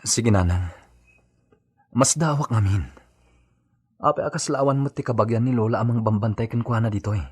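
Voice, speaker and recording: A man's voice: mid-range voice, moderate pace, long pauses, husky, close to an everyday voice. A man playing an earnest, concerned grown son in an Ilocano radio drama, with an Ilocano accent. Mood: concerned, questioning.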